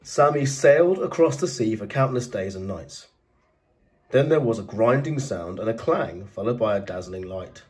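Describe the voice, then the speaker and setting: A man narrating a children's storybook as a teacher, reading in an expressive, dramatised way. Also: fairly low pitch, normal speed, recorded from a distance.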